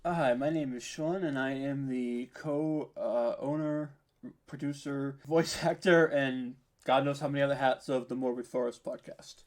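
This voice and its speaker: A man's voice: mid-range voice, fairly even intonation, normal speed, darkish tone. A man speaking as a podcast guest in casual, informal interview chat, hesitant and modest about himself. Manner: hesitant, self-deprecating, informal. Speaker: podcast guest, audio drama writer and producer.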